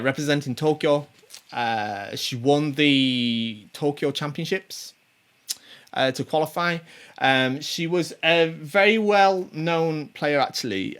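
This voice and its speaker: A man's voice: medium pitch, fairly bright tone, clean tone. A man in his forties hosting a show, giving casual commentary on tournament players, full of ums, restarts and little chuckles. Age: 40s. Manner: rambling, many ums and restarts; chatty, chuckles to himself. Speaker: show host.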